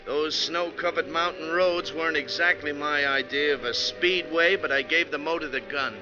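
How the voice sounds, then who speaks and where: A man's voice: a little high, steady tone, normal speed, highs cut off, over music, lightly acted. A man playing a wry, tough bus driver who narrates his own story in 1940s radio drama style. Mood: grim, storytelling. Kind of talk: 1940s radio acting, first-person narration. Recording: thin, band-limited 1940s radio recording.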